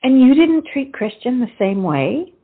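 A blunt, loyal young lady of the Revolutionary War played by a woman, scolding and theatrical. Her voice is husky and very animated, in a lo-fi, narrow-sounding recording of a stage comedy. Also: sits high, unhurried, heavily acted.

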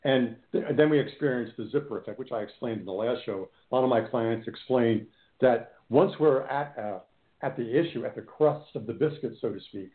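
A man working as a hypnotherapist, recounting a client case in a halting, earnest way, often repeating words. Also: medium pitch. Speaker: hypnotherapist guest. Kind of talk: conversational storytelling. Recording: echoey tinny phone line.

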